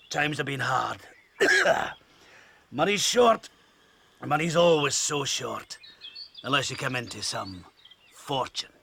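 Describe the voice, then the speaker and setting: An actor playing a rough, sly old Scottish sailor in an audio drama, muttering his yarn hoarsely with sudden swells. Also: a little high, sharp tone, slight background noise, exaggerated voice. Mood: wheedling.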